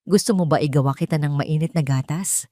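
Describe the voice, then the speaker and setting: A woman voicing a kind, protective housemaid, gentle and soothing, in a storybook drama. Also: sits low, a little fast, no room sound, clean studio sound, lightly acted. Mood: tender concern.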